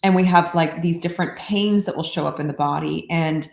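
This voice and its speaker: A woman explaining meditation practice in a calm, unhurried way, often saying "like", in casual podcast conversation. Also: low voice, creaky, echoey room. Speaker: meditation teacher.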